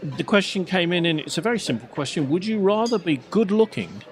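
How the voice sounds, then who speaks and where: A man's voice: sits high, big pitch swings, fairly quick. A man hosting a casual panel discussion, putting questions to the group as moderator. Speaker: podcast host and moderator.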